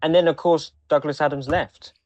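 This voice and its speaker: A man co-hosting a podcast in casual discussion, speaking in a halting way with frequent restarts. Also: fairly high pitch, steady tone, a little mellow, no echo. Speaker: podcast co-host.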